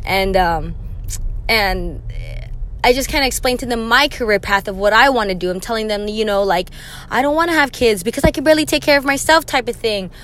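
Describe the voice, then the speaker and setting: A woman's voice: a little high, fairly quick, a bit bright. A young woman hosting her own podcast, talking casually from a vehicle, chatty and rambling with fillers and laughs. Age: young adult. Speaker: podcast host. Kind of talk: casual solo podcast monologue.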